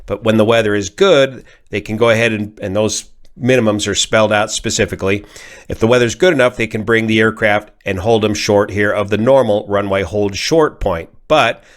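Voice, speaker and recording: A man with an American accent and a fairly low voice speaks as an aviation commentator. He explains technical matters in an informal but methodical way, laying out each point in measured steps. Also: fairly even intonation. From California, United States. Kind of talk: informal explanatory accident analysis.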